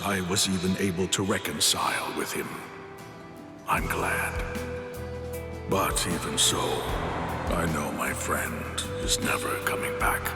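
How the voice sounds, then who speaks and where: An actor playing an honorable, loyal warrior captain in an anime game, speaking in a grave, formal, earnest and sorrowful way. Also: male voice, sits low. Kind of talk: scripted anime game cutscene dialogue.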